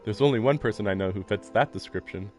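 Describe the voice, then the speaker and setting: A man with a fairly low voice streams a visual novel over game music. He reads narration aloud and drifts into rambling, amused asides in a casual tone. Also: fast, darkish tone. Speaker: livestreamer playing a visual novel. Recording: game music playing behind. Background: video game music.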